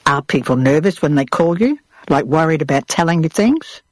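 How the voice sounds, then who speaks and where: A woman's voice: low-pitched, expressive, moderate pace, slightly rough, no room sound. A woman with an Australian accent, presenting and asking questions in a prepared radio interview.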